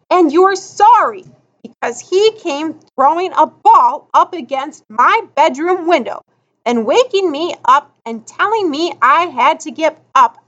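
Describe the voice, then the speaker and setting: A woman voicing a bossy, exasperated, sharp-tongued girl in a storybook reading, scolding with emphatic, colloquial phrasing. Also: very high-pitched, pitched above the performer's own voice, exaggerated voice. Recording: dull lo-fi audio.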